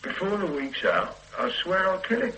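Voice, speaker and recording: Radio play: blunt cop, dry and wry, bright tone. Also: male voice, sits high, very animated, normal speed, slight background noise, heavily acted, low-quality recording. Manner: terse with superiors, wry at home. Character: blunt rough-diamond male detective sergeant. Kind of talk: 1980s radio drama acting.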